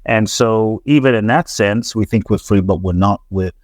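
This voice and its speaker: Man, low voice, earnest, reflective, stammering restarts, dry close sound, interview guest. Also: normal speed, no echo. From grew up in London. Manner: earnest, repetitive, frequent restarts and stammered repeats. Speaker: transformational teacher, podcast guest.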